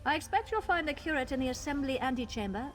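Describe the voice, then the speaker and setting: A woman's voice: sits high, lively intonation, fast talker, faint music behind. A woman voicing an officious, formal council clerk in a fantasy video game. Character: officious female clerk guarding a wizard council. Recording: faint background ambient noise.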